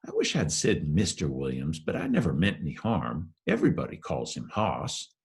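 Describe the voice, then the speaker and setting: A man voicing a nervous young boy in a storybook, speaking in hushed, urgent, folksy dialect. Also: a bass voice, wildly swooping pitch, moderate pace, creaky, exaggerated voice. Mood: uneasy.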